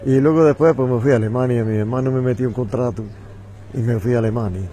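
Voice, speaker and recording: A man's voice: mid-range voice, quick pace, mellow, a little hiss or hum. An older man, a returned emigrant, recounting his working years in a plain, matter-of-fact way outdoors. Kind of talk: casual street chat.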